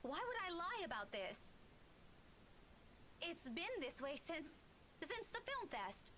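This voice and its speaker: A woman voicing an anxious, earnest young woman in a horror visual novel who apologizes yet stays determined, with a soft, pleading delivery. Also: very high voice, big pitch swings, slow pace, slight background noise, heavily acted. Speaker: game character.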